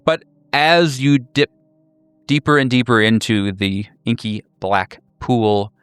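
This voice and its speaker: Male game master for horror roleplay, smooth and resonant, middling pitch, halting delivery, very dry recording. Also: steady tone, fairly bright tone, no echo. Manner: deliberate, halting pauses. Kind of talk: improvised horror roleplay narration.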